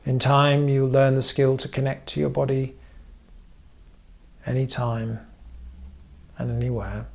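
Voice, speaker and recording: Gravelly-voiced man leading a slow meditation. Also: medium pitch, little pitch movement, a little mellow. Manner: measured, pausing often between short phrases. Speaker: therapist leading guided meditation. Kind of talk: guided meditation, gentle instruction.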